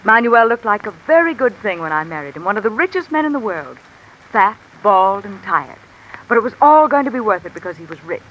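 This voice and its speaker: A woman's voice: medium pitch, brisk, lo-fi sound. A woman playing a calculating, discontented wife with an insinuating, controlled manner in 1950s radio mystery style. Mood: bitter, grasping. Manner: insinuating, controlled, coolly commanding. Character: cool, calculating, discontented wife blackmailing a man. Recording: old radio recording with steady rain noise. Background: rain falling.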